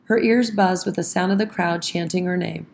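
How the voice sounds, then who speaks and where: A woman narrating a children's story from a script with expressive, dramatic phrasing. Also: on the low side, normal speed, gravelly, a little hiss or hum. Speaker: children's read-aloud narrator. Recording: music behind the voice. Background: background music.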